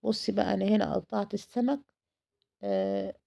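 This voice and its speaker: A woman's voice: mid-range voice, fairly even intonation, fairly quick, gravelly, mellow. A woman with an Egyptian Arabic accent in a chatty, effusive cooking vlog, her voice tired, repeating words for emphasis. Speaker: home cooking vlogger.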